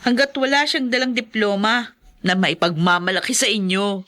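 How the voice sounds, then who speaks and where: A woman's voice: medium pitch, fairly even intonation, moderate pace, pushed and bright, close to an everyday voice. A woman playing a caring, practical older sister in a radio drama, with a plain, reassuring manner. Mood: reporting, respectful. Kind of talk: Filipino podcast radio drama acting.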